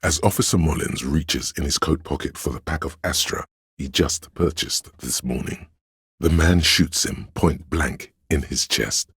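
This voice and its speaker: A man delivering dramatic, literary narration for a video game in a grave, deliberate, gravelly voice, recorded close in a studio. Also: fairly bright tone, dry recording. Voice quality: gravelly, husky, very deep. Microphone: close studio microphone, dry.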